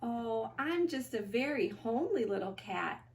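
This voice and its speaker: A woman voicing meowing storybook cats and kittens with drawn-out, childlike delivery. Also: on the high side, big pitch swings, a little mellow, a little hiss or hum. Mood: meek. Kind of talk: reader's theater character acting. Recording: distant from the mic.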